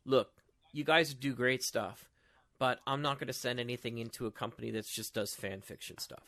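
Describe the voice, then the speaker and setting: A man chatting casually as a podcast host, telling stories in an animated way. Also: mid-range voice, normal speed. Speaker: audio drama show host and podcast panelist.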